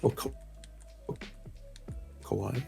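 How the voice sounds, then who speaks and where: A man's voice: very slow, music in the background. A man with a southern English accent chatting casually on a live stream, often laughing at himself. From southern England. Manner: laughs a lot, self-mocking. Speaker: game developer.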